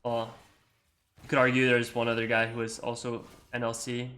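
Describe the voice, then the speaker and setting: Young adult man, American accent, bright voice, casual rambling gameplay commentary. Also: medium pitch, monotone, normal speed. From Iceland. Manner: rambling, full of likes and you-knows. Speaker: gamer and video creator.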